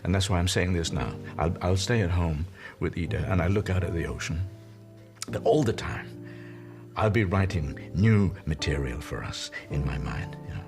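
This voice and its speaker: An actor playing an elderly comedian in a television drama, speaking tenderly and haltingly as he grieves beside his dying partner. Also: deep voice, over music. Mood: wistful. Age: older. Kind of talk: intimate television drama monologue.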